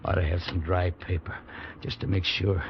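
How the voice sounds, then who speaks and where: A worn-out, stubborn police sergeant in a vintage radio play. His voice sits very low with a heavy creak, and the phrases come haltingly. The recording is dull and hissy with age. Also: flat delivery. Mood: tense, careful. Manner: halting, weary delivery with broken phrases. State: exhausted. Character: exhausted, dutiful, stubborn male police sergeant freezing in wilderness. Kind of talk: old-time radio drama acting.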